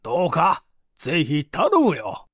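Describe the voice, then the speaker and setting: Guy doing a pushy male company president for an audiobook, formal n weighty, lots of pitch movement. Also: sing-song, slightly rough, no room sound, studio quality, heavily acted. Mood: persuasive, urgent. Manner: formal, pressing, deliberate.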